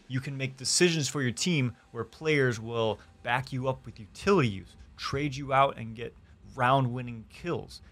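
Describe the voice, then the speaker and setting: Young man, mid-pitched, gaming creator reading a scripted tutorial. Deliberate, emphatic delivery on a close studio mic over background music. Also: fairly bright tone. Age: young adult. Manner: deliberate, emphatic, listing points. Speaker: gaming YouTuber and streamer. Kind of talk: scripted instructional guide. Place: small home streaming room, indoors. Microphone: close studio mic on desk.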